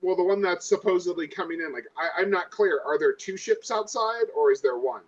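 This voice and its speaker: A man's voice: high-pitched, brisk, dull audio. A man chatting casually as a player in a tabletop game, insistent and restarting his questions.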